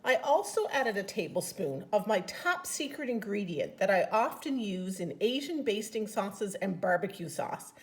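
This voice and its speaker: A woman's voice: medium pitch, big pitch swings, normal speed, few pauses. A woman with a Newfoundland accent, chatting upbeat through a home cooking demonstration in her kitchen. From Newfoundland, Canada. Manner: chatty, upbeat, enthusiastic about flavor. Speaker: cooking product consultant.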